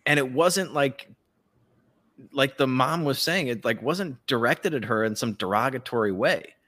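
A man's voice: mid-range voice, expressive, measured pace, bright, forceful tone. A man co-hosting a podcast in casual comedic banter, irreverent, profane and rambling. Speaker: podcast co-host.

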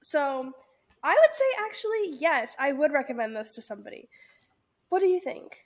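A woman's voice: high voice, sing-song, moderate pace. A young woman co-hosting a book podcast while sick and congested, giving blunt, emphatic opinions. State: congested with a cold. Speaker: podcast co-host. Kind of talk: casual book review chat.

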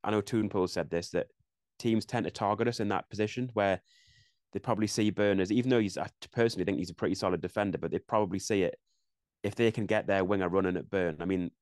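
A young English man co-hosting a casual football podcast, talking quickly and thinking aloud with frequent restarts. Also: fairly low pitch, a little mellow, dry recording, studio quality. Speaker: podcast co-host.

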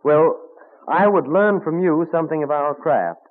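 A man's voice: a little high, normal speed, close to an everyday voice. An actor playing an eager, polite, admiring young colonial tradesman in an old radio drama. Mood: eager admiration. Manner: polite, deferential, enthusiastic. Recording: tinny narrow-band vintage radio recording.

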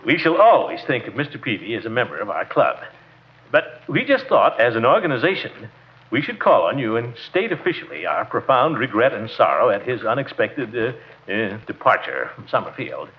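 A self-important, easily flustered club member in a vintage radio comedy. His pitch leaps about as he blusters and stumbles over formalities. The recording is dull and muffled. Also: big pitch swings, fairly quick. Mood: flustered, formal. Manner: blustering, hemming and hawing. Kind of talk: old-time radio comedy acting.

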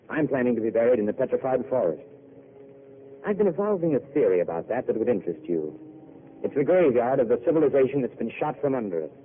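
An actor playing a weary, eloquent intellectual who makes theatrical speeches, in old radio drama. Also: male voice, medium pitch, close to an everyday voice. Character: weary poetic intellectual man, wistful and eloquent. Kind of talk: 1930s radio drama acting. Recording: tinny old broadcast with hiss.

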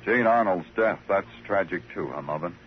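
An American actor playing a wry, world-weary, laconic police detective narrating an old-time radio drama. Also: male voice, low-pitched, normal speed, slight background noise, close to an everyday voice. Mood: dry, pressing. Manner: laconic, hard-boiled, poetic. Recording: dull old radio recording with music.

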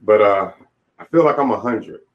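A man in his late forties with a fairly low voice speaks candidly as an interview guest. He is plain-spoken and reflective as he tells personal stories. The audio is a bit dull and lo-fi. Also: animated, moderate pace. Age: late 40s.

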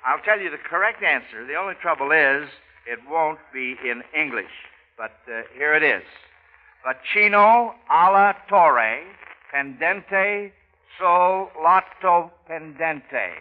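A man's voice: fairly high pitch, lively intonation, slow pace. An American man hosting an old live radio quiz, chatty and a little hesitant, repeating answers back. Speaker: quiz show host. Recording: dull, noisy vintage radio recording.

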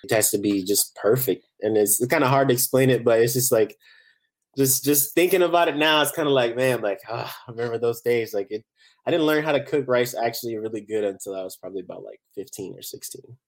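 A man from Barbados, chatting casually as a chef guest in a relaxed, rambling way. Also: medium pitch, fast. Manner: relaxed, rambling, many "you know" fillers. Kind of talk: casual interview chat.

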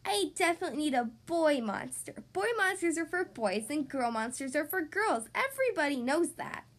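A woman's voice: sits high, sing-song, moderate pace. A woman voicing a picky, skeptical young boy at bedtime, blunt and earnest. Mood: insistent.

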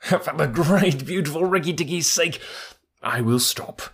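A man voicing a silly, excitable male tailorbird with a husky, sing-song voice. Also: a little high, unhurried, voice pushed up. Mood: fawning.

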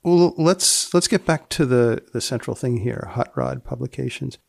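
A man hosting a podcast in a deadpan, mock-serious way, moving between scripted narration and wry questions. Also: medium pitch, normal speed, creaky, dry recording, clean studio sound. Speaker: podcast host.

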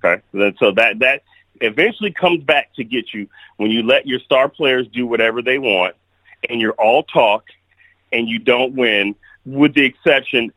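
A man's voice: mid-range voice, sharp tone, no room sound, low-quality recording. A man giving blunt, opinionated takes as the guest on a casual sports chat, often restarting his sentences. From Kalamazoo, Michigan.

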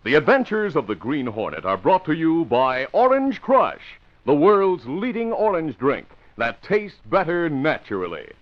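A man's voice: fairly high pitch, very animated, unhurried, hardly any pauses. A man announcing and narrating in an emphatic, theatrical vintage radio style. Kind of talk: 1950s radio announcing, dramatic scripted narration. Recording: noisy, dull vintage radio recording.

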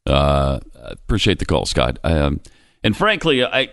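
A man's voice: extremely low pitch, huge pitch swings, unhurried, a bit bright, dry recording, studio quality. A man co-hosting a radio talk show, taking callers and chatting casually with a wry, laughing manner.

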